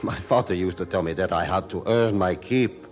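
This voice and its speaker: A man's voice: deep voice, moderate pace, close to an everyday voice. A man acting as a grown man recalling his hard childhood, in solemn, reflective scripted narration for a drama. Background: faint music.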